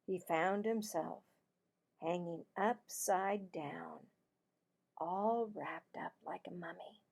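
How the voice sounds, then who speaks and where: A woman narrating a children's storybook aloud in an expressive, deliberate manner. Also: lowish voice, gravelly, slightly rough. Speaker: teacher-style read-aloud narrator.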